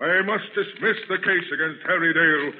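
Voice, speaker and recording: Formal, higher-voiced courtroom judge. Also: male voice, moderate pace, slightly rough. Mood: stern ruling. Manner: formal, authoritative. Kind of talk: 1930s radio acting. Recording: tinny 1930s radio, faint noise.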